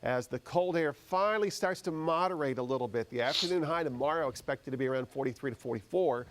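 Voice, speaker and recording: A man's voice: fairly high pitch, quick pace. An American man presenting a live TV weather forecast in a conversational, folksy way.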